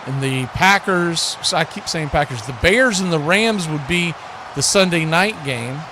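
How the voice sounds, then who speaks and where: A man's voice: fairly high pitch, measured pace. A man hosting a live football watch party, giving play-by-play in a folksy, conversational way. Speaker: play-by-play host. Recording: steady background noise.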